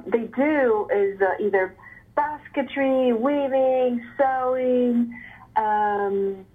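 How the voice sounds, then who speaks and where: A woman from Ecuador answering interview questions, hesitant, with many fillers and frequent throat clearing. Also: fairly high pitch. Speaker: interviewee, artist. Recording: phone-like narrow sound.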